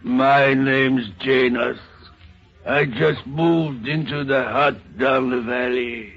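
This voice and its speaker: A man acting a menacing, beast-like werewolf in an old-time radio drama, greeting people in a slow, drawn-out, gravelly voice. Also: medium pitch, fairly even intonation, faint music behind. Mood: slow, ominous. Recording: dull lo-fi old radio recording.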